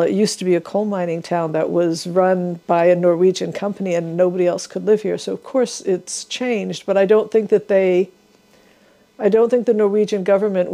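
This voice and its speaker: A woman from Seattle answers interview questions, thinking aloud. Her voice is low-pitched and mellow, with pauses and restarts as she searches for words. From Seattle, USA. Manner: thoughtful, pausing and restarting. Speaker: gallery owner and advocate, interviewee.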